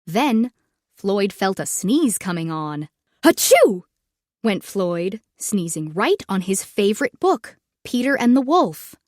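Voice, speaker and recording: Slow, lively woman reading a storybook. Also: medium pitch, dry recording, studio quality. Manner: expressive, measured read-aloud for young children. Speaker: storyteller reading a children's book aloud. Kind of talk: scripted children's storybook narration.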